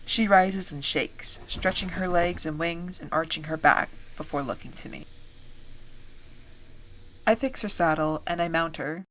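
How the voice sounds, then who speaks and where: A woman playing a wry dragon-riding soldier, narrating a fantasy audio drama in the first person in a measured storytelling way. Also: on the low side, a bit bright, a little hiss or hum, rough recording. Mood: alert.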